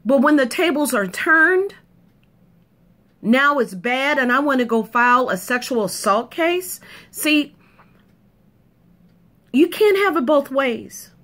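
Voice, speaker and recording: A woman's voice: high-pitched, animated, slow pace, pauses often, fairly bright tone. A woman delivering an emphatic, punchy opinion monologue to camera, repeating points for effect. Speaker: video commentator.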